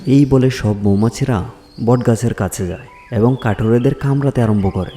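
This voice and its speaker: A man's voice: mid-range voice, moderate pace, dark tone, faint music behind. A man narrating a scripted fairy tale for children as a storyteller.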